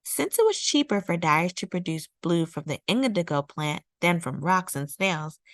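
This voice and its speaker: Woman reading a children's book aloud, lowish voice. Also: dry recording. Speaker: storytime read-aloud host. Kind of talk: scripted read-aloud narration of a children's nonfiction picture book.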